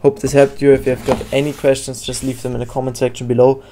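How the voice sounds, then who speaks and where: A man narrating a casual software tutorial in a hesitant, halting way. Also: medium pitch, flat delivery, a little hiss or hum. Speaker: tutorial host.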